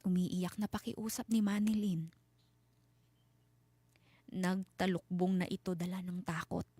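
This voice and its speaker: A woman storyteller giving a dramatic scripted horror narration with suspenseful, deliberate phrasing. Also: on the low side, fairly even intonation, dark tone, husky, no room sound.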